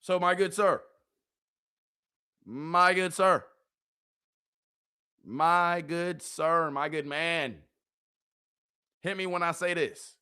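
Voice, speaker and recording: A man hosting a live watch-party stream, hoarse from yelling, talking animatedly to his chat and repeating catchphrases. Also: on the high side, fairly even intonation, drawn-out words, long pauses.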